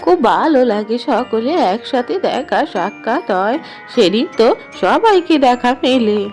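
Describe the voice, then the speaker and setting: A woman's voice: on the high side, lively intonation, a little slow, darkish tone, faint music behind. A woman voicing a kindly old village grandmother in a Bengali cartoon fairy tale. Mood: fond, content. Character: kindly old village grandmother who loves feeding everyone.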